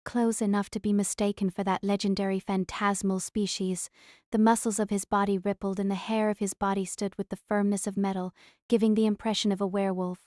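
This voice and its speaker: A woman's voice: mid-range voice, flat delivery, fairly quick, darkish tone, no echo, studio quality. A woman reading scripted audiobook narration of a story as its narrator.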